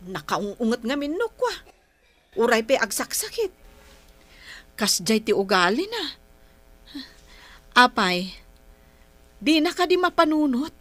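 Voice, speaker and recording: A woman's voice: a little high, fairly bright tone. A woman playing a concerned, exasperated grown daughter in a radio drama, complaining earnestly with a Filipino accent. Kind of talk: Ilocano radio drama acting.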